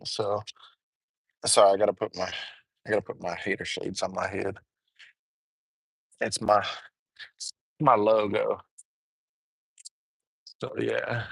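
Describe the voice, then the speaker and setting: A young man with a Southern drawl chats loosely to his live stream viewers and keeps calling them gang. His voice sits fairly low and sounds close and dry, with no room around it. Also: flat delivery, slow pace, vocal fry, long pauses, fairly bright tone, slightly rough, dry recording. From Alabama. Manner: rambling, chatty, addresses viewers as gang. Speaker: livestreamer. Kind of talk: casual live stream chat. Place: on the road and in a store.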